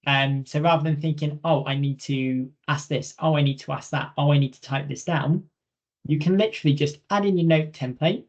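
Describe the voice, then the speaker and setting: A man's voice: on the high side, steady tone, brisk, lo-fi sound. A man presenting a training webinar in an informal, direct, conversational way, emphasising points through repetition.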